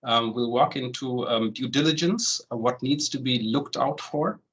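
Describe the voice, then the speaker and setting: A man in his forties, raised in Germany, leads an online session as a home loan consultant. He walks through the steps at an easy pace. His talk is loose and full of "um"s, and he keeps checking in with "right?". His voice sits at an average pitch for a man. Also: normal speed, slightly rough. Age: 40s. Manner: relaxed, many "um" fillers and tag "right?".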